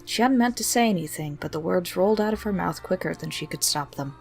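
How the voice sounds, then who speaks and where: A woman's voice: on the low side, expressive, fast, gravelly, a little mellow, soft background music. A woman reading a scripted story as its narrator. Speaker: narrator of own fan fiction.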